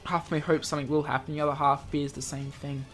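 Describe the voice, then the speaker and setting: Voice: medium pitch, level tone, fast. Game host reading aloud over music. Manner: stumbles over words, jokey asides. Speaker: let's play video host. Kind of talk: reading a visual novel aloud with offhand commentary.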